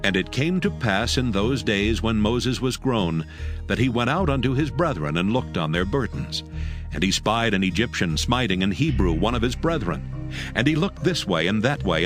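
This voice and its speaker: Guy narrating the bible, lowish voice, very animated, music under him. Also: normal speed. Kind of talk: dramatized scripture narration.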